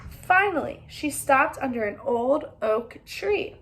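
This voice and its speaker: A woman with a high, bright voice reads a children's story aloud. Her delivery is expressive and interactive, turning now and then to the listeners with questions. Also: slow pace, rough recording.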